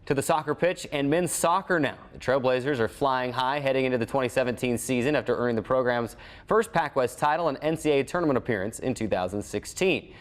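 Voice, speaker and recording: An American male sports host narrates in a middle register. He presents scripted news and previews as a show's reporter. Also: mid-range voice.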